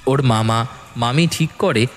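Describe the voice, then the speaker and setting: A man narrating a scripted horror story in a dramatic, expressive and suspenseful storytelling manner. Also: medium pitch, unhurried, faint music behind.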